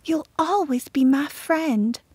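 A woman voicing a gentle, affectionate young girl in a storybook, speaking softly and wistfully to a friend. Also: high-pitched, takes it slowly, dry recording, pitched above the performer's own voice. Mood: tender, wistful.

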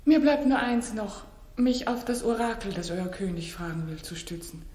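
A proud queen defends herself with solemn, anguished dignity, played by an actress. The delivery is declamatory, in the manner of 1960s radio drama. Her mid-pitched female voice rings in an echoey room. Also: expressive, normal speed, darkish tone, natural voice. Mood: proud, defiant.

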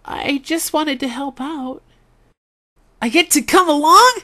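A woman's voice: high voice, slow, pitched above the performer's own voice, full character voice. A woman voicing an eager, earnest boy prince for a video game. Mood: wistful, apologetic. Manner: excitable, earnest. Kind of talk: video game character acting.

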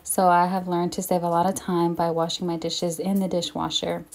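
A woman's voice: on the low side, flat delivery, moderate pace. Chatty young woman vlogging her cleaning. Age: young adult. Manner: chatty, reassuring, apologetic about the mess. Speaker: home vlogger. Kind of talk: casual cleaning vlog narration. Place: home kitchen, indoors.

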